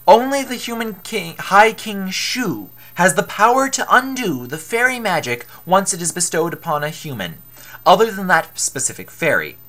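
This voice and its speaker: Lady doing a little winged fairy guy, blunt n unbothered, bright voice, bit higher, storybook style. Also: big pitch swings, normal speed. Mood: matter-of-fact.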